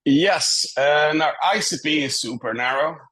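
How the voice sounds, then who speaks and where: A man from Greece talking conversationally as a consultant and guest in a business interview, candid and measured, laying out his points in order. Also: on the high side, sharp tone.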